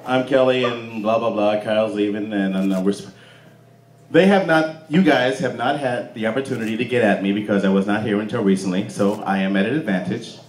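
An American man doing a profane stand-up roast on a club stage, brash and quick to snap back at hecklers. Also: lowish voice. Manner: brash, animated, swearing, talking back to hecklers. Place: club stage before a live audience. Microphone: handheld stage mic through PA, filmed from the crowd. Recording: roomy club PA with audience noise.

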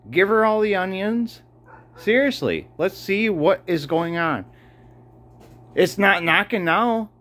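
A man speaking casually and bluntly as a bossy home mechanic, troubleshooting and giving orders with some swearing. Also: high-pitched. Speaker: home mechanic filming a garage video. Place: indoors in a cluttered home garage. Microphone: handheld phone camera, speaker behind it. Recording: noisy garage with loud idling engine. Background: idling car engine.

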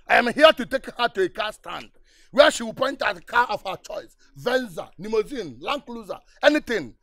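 A boastful, blustering village big man, bragging about his money. His voice sits high for a man, recorded close and dry. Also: big pitch swings, moderate pace, vocal fry, fairly bright tone, dry recording, full character voice. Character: boastful rich male village politician.

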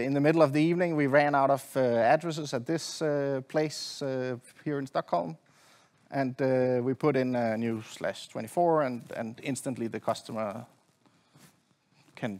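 Middle-aged man with a slightly gravelly low voice. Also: darkish tone. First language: not Swedish. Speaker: conference presenter, network engineer. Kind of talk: technical presentation. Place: conference stage at a lectern, indoors.

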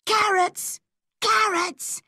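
A woman's voice: deep voice, very animated, slow pace, sharp tone, no echo, clean studio sound, exaggerated voice. A woman voicing a friendly schoolboy in a storybook, cheeky yet polite. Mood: teasing. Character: cheeky but apologetic schoolboy, friendly, tall.

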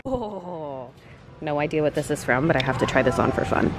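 A woman's voice: low voice, lively intonation, moderate pace, music in the background. A young woman vlogging casually about shopping, playful and exclamatory with theatrical asides, talking to a handheld camera. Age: young adult. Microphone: handheld camera at arm's length.

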